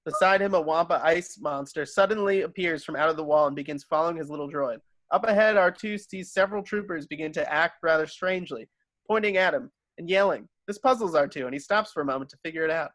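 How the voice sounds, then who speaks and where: A man's voice: fairly high pitch, fast talker, a bit bright, no room sound. A man narrating screenplay stage directions from a script at a table read.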